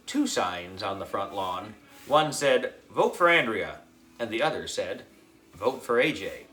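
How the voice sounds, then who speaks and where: A man narrating a children's story as a schoolboy, in an expressive, dramatic way. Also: medium pitch. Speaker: audiobook reader, narrating as a schoolboy.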